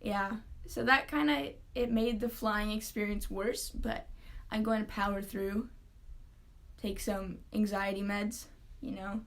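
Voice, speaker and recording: A woman's voice: medium pitch, steady tone, a little slow. Chatty woman, filler-heavy casual talk. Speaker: podcast guest. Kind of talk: casual chat.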